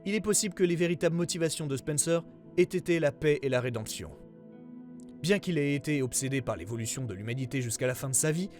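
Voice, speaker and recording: Male actor as government investigator, higher-pitched voice, formal measured report narration, serious, faint music behind. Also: lightly acted.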